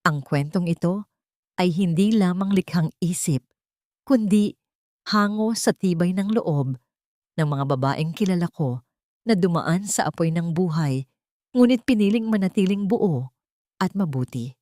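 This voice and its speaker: A woman narrating a dramatic story in an earnest, sentimental, measured way. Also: fairly low pitch, expressive, mellow, no room sound, studio quality.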